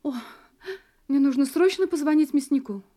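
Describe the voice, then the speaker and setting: A woman's voice: sits high, moderate pace, dark tone, natural voice. An actress in a Russian audio drama playing an anxious wife who hides her terror behind sweet domestic composure. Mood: evasive, casual.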